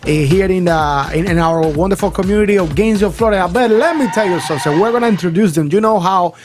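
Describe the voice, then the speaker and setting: Man, high voice, hyped radio host, switches languages, faint music behind. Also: quick pace. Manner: upbeat, hyped announcer switching between English and Spanish. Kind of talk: bilingual morning radio show. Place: radio studio.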